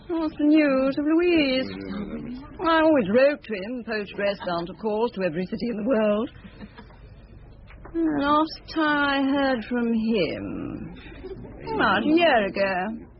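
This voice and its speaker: A middle-aged ex-dancer hooked on gambling. Her voice is high and very animated, with theatrical, careless delivery. The recording is dull and muffled. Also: takes it slowly, exaggerated voice. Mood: blasé, distracted. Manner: offhand, distracted, theatrical. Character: self-absorbed, gambling-addicted ex-dancer mother, blasé and theatrical. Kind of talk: radio drama acting. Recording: muffled, lo-fi radio drama recording.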